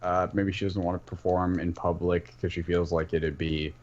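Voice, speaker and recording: A man talking casually and haltingly on a fan podcast, often repeating and reworking his phrases. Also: low voice, little pitch movement. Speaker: podcast panelist. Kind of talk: casual fan discussion of an animated series. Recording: muffled mic with clear background noise.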